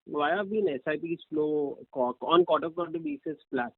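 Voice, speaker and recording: A man's voice: sits high, very animated, moderate pace, vocal fry, dry recording. A man with an Indian accent asking an analyst's question on a business call. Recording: dry tinny phone line.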